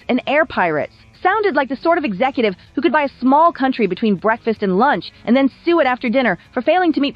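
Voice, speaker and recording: A woman's voice: sits high, highs cut off. A woman narrating a scripted documentary with wry, polished storytelling. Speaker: video essay narrator.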